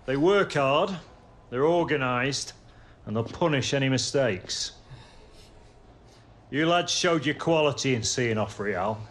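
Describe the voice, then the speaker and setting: An actor playing a blunt, driven football coach in a video game cutscene. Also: male voice, mid-range voice, expressive, takes it slowly, long pauses, fairly bright tone. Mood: rallying. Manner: rallying, blunt.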